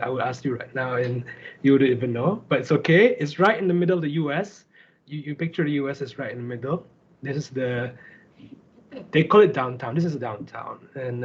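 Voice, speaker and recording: A man from Sarawak with a Malaysian accent, giving an informal, conversational slide talk as a guest speaker on an online call. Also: mid-range voice. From Kuching, Sarawak, Malaysia. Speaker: guest speaker, startup founder. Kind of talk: casual slide presentation. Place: online video call with screen sharing. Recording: dull lo-fi online call audio.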